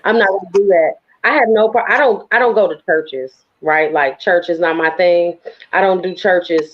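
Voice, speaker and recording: A woman poet from New Orleans with a local accent, talking in a lively, emphatic, conversational way as an interview guest. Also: medium pitch. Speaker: guest, spoken word poet. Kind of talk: casual interview talk. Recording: dull lo-fi audio.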